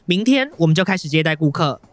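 An actor with a Taiwanese Mandarin accent playing a matter-of-fact senior bank colleague briefing a newcomer in a radio drama. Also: male voice, fairly high pitch, expressive, fast talker, dry recording, natural voice. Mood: instructive.

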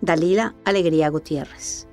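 Low, serious woman narrating. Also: a little mellow, soft background music. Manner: measured, serious. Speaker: documentary podcast host and narrator. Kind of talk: scripted narration.

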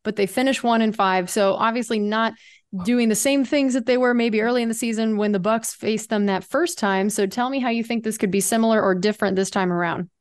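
A woman's voice: fairly high pitch, brisk, smooth. A woman, a team reporter hosting a radio interview in an upbeat, friendly and effusive way. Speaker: team reporter and show host.

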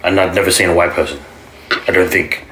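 Aussie guy, low-ish bright voice, laid back, short answers, echoey room. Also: level tone, fast, background noise. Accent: Australian. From Australia. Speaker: guest. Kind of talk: casual conversation.

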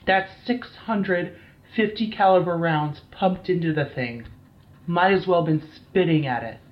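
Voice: mid-range voice, unhurried, natural voice. An actor playing a shaken, foul-mouthed military team captain in an audio drama, blunt and weary, on a slightly dull recording. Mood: grim, weary. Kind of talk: dramatised incident interview, audio drama acting.

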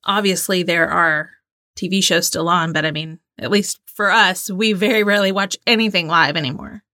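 A woman co-hosting a trivia game with casual, playful banter and a giggly, excitable manner. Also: lowish voice, normal speed, no echo, studio quality. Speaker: trivia game show co-host and contestant.

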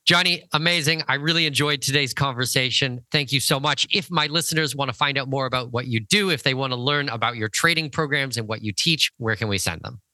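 Bright-voiced male podcast host. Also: medium pitch, fairly quick, few pauses, dry recording. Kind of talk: conversational interview. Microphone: close mic on an internet call.

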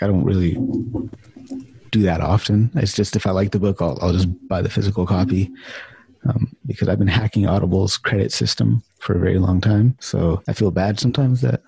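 A man with a gravelly, husky voice hosting open office hours in a loose, informal group chat. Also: fairly low pitch, mellow, no room sound. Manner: informal, loose, mild swearing.

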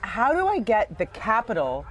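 Lively woman interviewer. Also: a little high, normal speed. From Ghana. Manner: upbeat, encouraging questions. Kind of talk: casual street interview.

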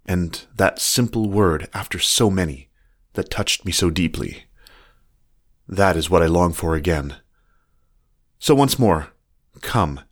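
A man plays a genteel storybook fox with a low, deep voice. He is earnest and florid, a gentle and lovestruck creature of good standing, speaking his love letters with formal care. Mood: yearning. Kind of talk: dramatic reading of love letters.

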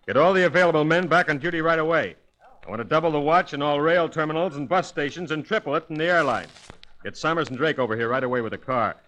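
An actor plays a brusque American male police inspector in a 1940s radio crime drama. He fires off his words quickly, clipped and authoritative, sounding harried and used to giving orders. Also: fairly high pitch, a bit bright, close to an everyday voice, low-quality recording. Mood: commanding.

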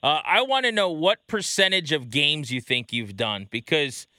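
A man's voice: a little high, expressive, moderate pace, sharp tone, no room sound, clean studio sound. A man hosting a casual sports talk interview in a conversational way. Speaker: interview host.

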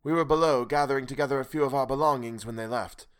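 A man doing a weary castaway calling out of the darkness, his voice pitched well above the reader's own. He speaks haltingly, with broken pauses, and sounds solemn and grave. It is a storybook voice with a worn, pleading edge. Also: a bit bright, clean tone, lightly acted. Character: weary old male castaway calling from a boat in the dark.

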